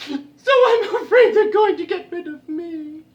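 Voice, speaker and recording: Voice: very high voice, measured pace, lo-fi sound, voice pushed up. A performer voicing a vain aging female cat in a folktale, whimpering and coquettish. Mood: tearful, vain. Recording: roomy, recorded at a distance.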